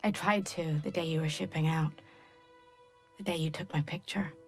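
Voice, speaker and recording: A woman's voice: low-pitched, steady tone, normal speed, soft background music, natural voice. An actress playing a soldier's young wife, tenderly reading her apologetic letter as a war game voice-over. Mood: regretful. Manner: tender, confessional.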